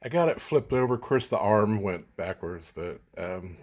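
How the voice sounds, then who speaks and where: A man talking casually and unscripted as a vlogger showing gear, rambling and halting as he thinks aloud. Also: lowish voice, animated, a little mellow. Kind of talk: casual unscripted show-and-tell.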